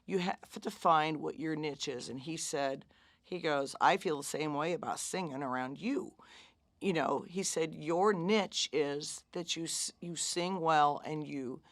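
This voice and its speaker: Woman musician chatting, bit of fry in her voice, dry sound. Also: sits low, a little slow, no echo. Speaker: musician guest. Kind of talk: casual chat.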